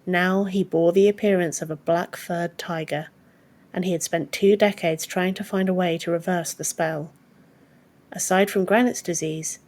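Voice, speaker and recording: A woman's voice: fairly low pitch, a little mellow. A woman reading scripted fantasy fiction as an audiobook narrator.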